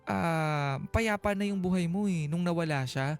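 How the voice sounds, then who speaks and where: High-pitched Filipino man giving radio advice. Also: moderate pace. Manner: emphatic, playful, Taglish code-switching, rhetorical tag questions. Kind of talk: casual radio advice talk. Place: radio studio. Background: background music.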